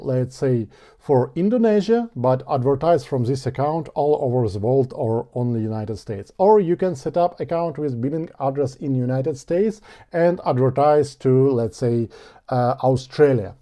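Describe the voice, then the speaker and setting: A man's voice: medium pitch, warm tone. A man, explaining an online tutorial step by step in English. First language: Ukrainian. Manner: explains step by step in non-native English, gesturing with his hands. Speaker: online ads instructor. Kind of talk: screen-share tutorial. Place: dark studio with blue backlight. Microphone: small lapel mic.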